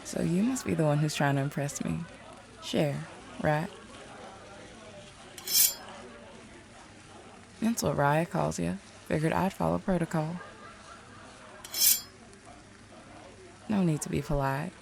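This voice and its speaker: A deep female voice, heavy with vocal fry, an actress playing a mayor who is also a seer. She is confident and shrewd, talking in a direct, folksy, knowing way, as in an audio drama. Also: moderate pace, a crowd in the background. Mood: friendly, sly. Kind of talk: audio roleplay acting.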